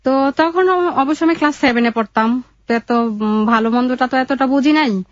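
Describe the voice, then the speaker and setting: A young woman with a Bangladeshi accent telling her life story in an interview, in a halting, colloquial way. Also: high-pitched, no echo, lo-fi sound.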